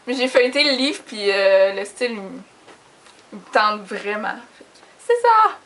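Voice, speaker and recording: A woman's voice: mid-range voice, big pitch swings, measured pace, a bit bright, a little hiss or hum. A young woman with a Quebec French accent chatting casually and informally to camera as a book vlogger, in a giggly, self-deprecating way. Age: young adult.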